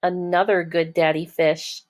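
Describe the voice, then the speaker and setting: A woman with a slightly low voice reads a children's story as a teacher would. Her pace is slow and measured, and she addresses young listeners in a clear explanatory manner. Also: flat delivery. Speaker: teacher reading aloud.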